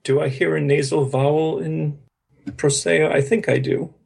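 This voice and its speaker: Husky, gravelly-voiced male podcast host. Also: on the high side, steady tone, normal speed, a little mellow. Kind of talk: casual interview questions.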